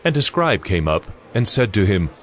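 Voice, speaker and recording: A man's voice: fairly low pitch, sing-song, moderate pace, a bit bright. A man narrating scripture from a script in an audio drama.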